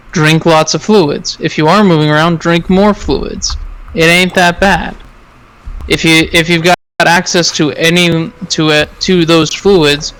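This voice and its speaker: Bright, higher-pitched American man. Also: clear, resonant tone, poor audio. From Arizona, United States. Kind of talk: casual chat while gaming.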